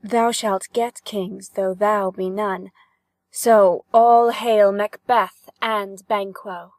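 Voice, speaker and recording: A woman playing a solemn witch who chants prophecies in a measured way for a dramatic Shakespeare reading. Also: mid-range voice, fairly even intonation, a little mellow, no room sound. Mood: prophetic.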